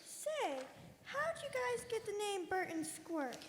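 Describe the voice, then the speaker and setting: A woman's voice: extremely high pitch, very animated, takes it slowly, full character voice. A woman playing a blunt, wry veteran crew member backstage at a radio show. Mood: teasing. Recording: echoey hall, some noise, clicks.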